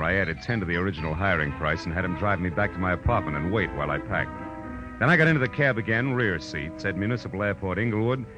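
An American actor playing a hard-boiled, wisecracking private eye with wry, clipped delivery in a 1940s radio drama. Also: male voice, sits low. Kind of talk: 1940s radio detective acting, first-person narration. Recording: muffled old radio recording, music behind.

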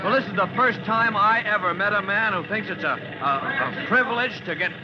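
A man's voice: high-pitched, moderate pace, close to an everyday voice. An actor playing an eager young rookie fireman, earnest and deferential, in vintage radio drama style. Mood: puzzled, amazed. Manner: wide-eyed, earnest, deferential. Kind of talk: 1940s radio acting. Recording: muffled old radio recording, loud noise.

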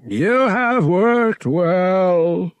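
A man voicing an alien high priest in an audiobook, solemn and ceremonial as he declaims to the faithful. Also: animated, drawn-out words, smooth, no room sound, exaggerated voice. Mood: solemn, exalted.

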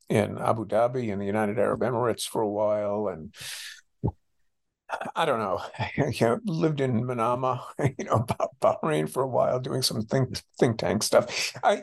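Older american guy, super gravelly fry, hesitant and circling back, academic answering interview questions. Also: mid-range voice. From United States. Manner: halting, hesitant, circling back over phrases. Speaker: interviewed author, scholar and former policy official. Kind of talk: reflective interview talk.

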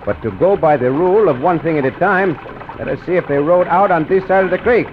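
An actor playing a courteous, dashing Mexican caballero hero who speaks formally with a Mexican Spanish accent, in 1940s radio acting. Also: male voice, a little high, a little fast, natural voice. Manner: formal, gallant, calm reasoning. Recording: muffled old radio recording with faint hiss.

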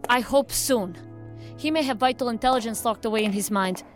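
An actress playing a serious, formal federal agent reporting in a measured, matter-of-fact way in an audio drama. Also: female voice, a little high, faint music behind, natural voice. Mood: serious, puzzled.

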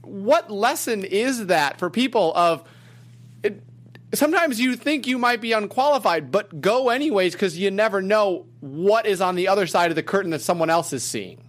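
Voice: mid-range voice, expressive, moderate pace. An interviewer who asks long, reflective questions in a thoughtful way.

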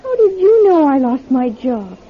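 An actress playing a young blonde go-go dancer in a radio drama, naive, touchy and easily upset. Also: female voice, sits high, big pitch swings, measured pace. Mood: puzzled, curious. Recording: dull lo-fi radio drama tape.

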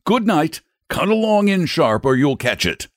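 Man playing a brisk, kindly storyteller, lifted pitch. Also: no echo, studio quality, natural voice. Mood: kind, firm. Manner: brisk, abrupt, friendly dismissal. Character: kindly but firm storytelling grown man.

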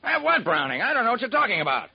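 A brash male music publisher from an old radio drama, talks in slangy, punchy lines. His voice is high and husky, on a dull vintage recording. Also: sing-song, very fast, heavily acted. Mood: brisk, amused. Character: brisk, self-made male music publisher, colloquial and eager.

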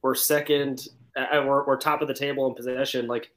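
A man's voice: medium pitch, little pitch movement, fairly quick, fairly bright tone. Guy on a sports podcast panel, medium voice, casually talking it through.

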